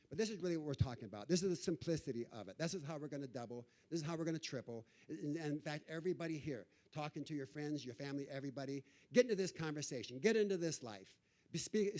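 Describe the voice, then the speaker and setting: Male conference speaker, slightly high voice, rallying people with urgent, repetitive, stop-start phrasing. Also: a little mellow. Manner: urgent, repetitive, halting and restarting. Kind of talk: impromptu motivational address.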